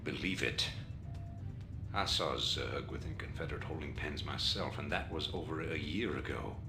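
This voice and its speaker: A man acting a calculating middle-aged rebel leader in a video game, speaking in a measured, formal, authoritative way. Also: low-pitched. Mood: grave, assured.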